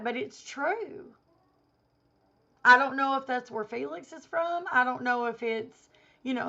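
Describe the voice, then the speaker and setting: A woman vlogger giving an indignant opinion rant to camera, hoarse because she has a cold and is losing her voice. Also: a little high, fairly bright tone, highs cut off. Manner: indignant, emphatic.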